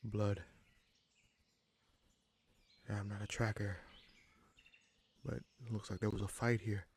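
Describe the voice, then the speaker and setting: A man playing a gruff but caring human bandit leader in a fantasy audio roleplay, wry and protective, with informal, halting phrasing. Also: flat delivery, darkish tone, slight background noise. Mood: wry, determined. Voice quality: gravelly, husky low voice.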